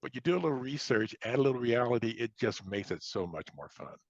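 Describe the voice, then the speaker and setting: A man's voice: mid-range voice, animated, quick pace, no room sound, muffled recording. An American man chatting casually as an interview guest, often repeating phrases as he goes. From Chicago, United States. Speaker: science fiction author, interview guest.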